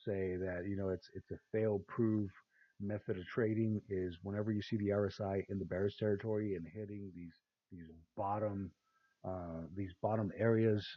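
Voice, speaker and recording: A man with a rather low, raspy and tired voice talks through price charts in a loose, rambling way, full of "uh"s and restarts. The sound is thin and tinny, like a phone line. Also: level tone, moderate pace. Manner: rambling, many fillers and restarts. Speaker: crypto chart analyst. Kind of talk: casual screen-share market analysis.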